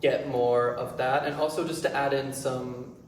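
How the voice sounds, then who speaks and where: Male playwright in casual Q&A, agreeable, echoey and clearly noisy. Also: mid-range voice, steady tone, normal speed. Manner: brief, agreeable replies. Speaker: playwright answering audience feedback. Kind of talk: casual question-and-answer. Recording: echoey room, distant mic, noisy.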